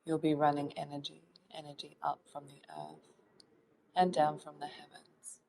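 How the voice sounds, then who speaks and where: A woman leading a guided meditation in a soft, soothing voice that sometimes drops toward a whisper, in short phrases with long pauses. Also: deep voice, flat delivery, poor audio. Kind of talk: guided meditation, hypnotic trance induction.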